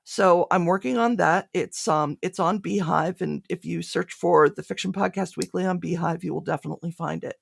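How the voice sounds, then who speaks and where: A woman's voice: on the low side, normal speed, gravelly, few pauses, slightly rough, dry recording, clean studio sound. A woman speaking conversationally as a podcast guest, an audio drama creator explaining things in interview answers.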